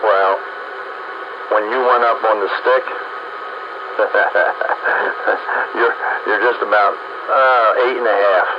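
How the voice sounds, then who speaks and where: A man in casual radio chat with other operators, halting and repeating his phrases. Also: fairly low pitch, fairly even intonation. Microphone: radio transmission. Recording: muffled two-way radio with clear static.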